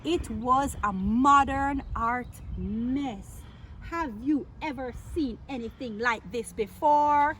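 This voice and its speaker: A woman with a fairly high voice reads a children's story aloud. She is theatrical and playful, stretching words and putting questions to her young listeners. There is clear noise in the background. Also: takes it slowly, a bit bright. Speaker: storyteller reading a picture book aloud to children.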